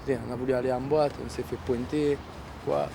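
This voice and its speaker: A man with a gravelly, medium-pitched voice makes blunt, casual remarks. He leans on slang and repeats himself. Noticeable street noise surrounds him. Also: little pitch movement, a little fast. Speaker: street interviewee. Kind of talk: casual, slangy interview talk. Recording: noisy outdoor urban recording with traffic.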